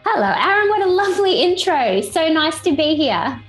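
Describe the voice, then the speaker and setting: A woman's voice: extremely high pitch, very animated, normal speed, soft background music, rough recording. A middle-aged woman with an Australian accent, chatting in an upbeat way as an interview guest. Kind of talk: casual interview chat.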